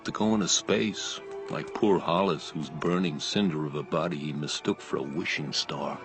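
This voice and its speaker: A man's voice: rumbling low, moderate pace, over music. An actor playing a haunted, brooding older male wanderer, storytelling in a radio drama.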